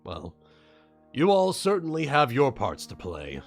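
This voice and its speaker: A man's voice: mid-range voice, wildly swooping pitch, unhurried, soft background music. A man playing a weary, earnest blacksmith in a tabletop fantasy game, speaking hesitantly. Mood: wistful. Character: weary, earnest male blacksmith with arcane knowledge.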